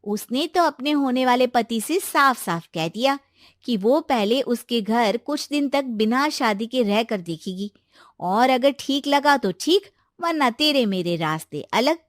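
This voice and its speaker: A woman's voice: a little high, animated, measured pace, dry recording, studio quality. A woman voicing a sensible, concerned young college friend in a story, chatty and explaining things. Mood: helpful.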